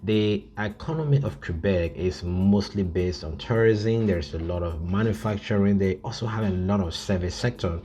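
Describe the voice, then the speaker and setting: A man with a low-pitched voice presents an informal informational video. He talks to viewers as a presenter working through a list, in a halting way padded with filler phrases. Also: warm tone. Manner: frequent "you know" filler, halting. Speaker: YouTube presenter.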